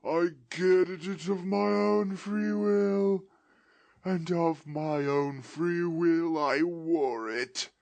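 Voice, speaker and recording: A man voicing a doomed, mournful male ghost in chains, drawing out his words in a slow lament. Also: on the high side, gravelly, a little mellow, no echo, pitched above the performer's own voice, exaggerated voice.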